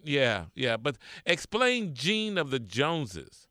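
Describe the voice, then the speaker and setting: A man's voice: mid-range voice, big pitch swings, slow, bright, forceful tone, no echo, studio quality. A man hosting a radio interview, playful and teasing, laughing often. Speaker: radio host.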